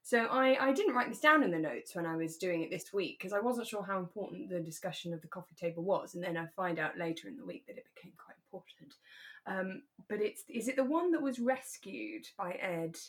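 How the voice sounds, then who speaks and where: A British woman chatting reflectively as a podcast co-host, in a relaxed conversation. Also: mid-range voice, fairly quick. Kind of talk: relaxed conversational discussion of a radio drama.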